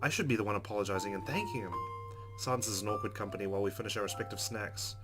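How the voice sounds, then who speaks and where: A man's voice: fairly low pitch, very fast. A man hosting a let's play, reading game narration expressively with casual, playful asides. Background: game music.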